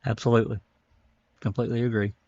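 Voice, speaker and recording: A man's voice: medium pitch, level tone, fast talker, darkish tone, dry recording, dull audio. A man hosting a casual online panel discussion, agreeing with guests and thanking each one. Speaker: podcast host.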